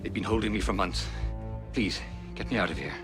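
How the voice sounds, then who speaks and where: Man acting an anxious, pleading low-voiced captive. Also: a little fast, over music, close to an everyday voice. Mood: urgent, fearful. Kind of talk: video game cutscene acting.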